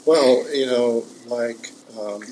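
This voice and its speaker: A man's voice: medium pitch, slow pace, mellow, a little hiss or hum, low-quality recording. A man hosting a casual interview, enthusiastic and full of follow-up questions. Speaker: interviewer, host.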